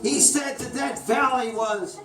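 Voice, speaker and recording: High-voiced woman teacher, echoey and distant. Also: a little slow, music in the background. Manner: repeats questions and children's answers, prompting. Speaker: children's Sunday school teacher quizzing a class. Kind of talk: question-and-answer quiz with children. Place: large echoey indoor room with children. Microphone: distant camera or room mic. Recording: echoey room, distant mic, noisy.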